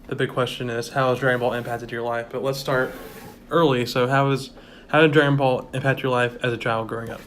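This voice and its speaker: Young male interviewer, flat even tone, light background hiss. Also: medium pitch, brisk, hardly any pauses. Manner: friendly, short reactions. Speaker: host. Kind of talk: casual interview.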